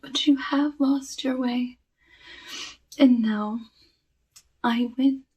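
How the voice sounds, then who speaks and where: A sinister, flesh-eating witch of the woods, played by a woman in an ASMR roleplay. She speaks extremely slowly in a very breathy hush that slips into whispers, savoring her menace. The voice sits a little back from the microphone. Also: high voice, steady tone, recorded from a distance, heavily acted. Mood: gloating. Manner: slow, hushed, drifting into whispers, savoring. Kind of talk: ASMR roleplay, soft talking.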